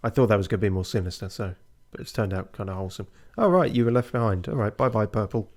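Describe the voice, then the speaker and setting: A man's voice: on the low side, quick pace. A man streaming a video game, talking casually with a co-host and reading viewer comments; his voice tends to break. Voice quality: voice breaks often. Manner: relaxed, reads chat aloud. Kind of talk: casual gameplay chat.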